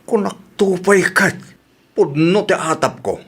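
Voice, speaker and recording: A man's voice: sits high, measured pace, husky, exaggerated voice. A man playing a suspicious Ilocano Filipino husband in a radio drama, probing and grumbling as he talks. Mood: surprised, grumbling.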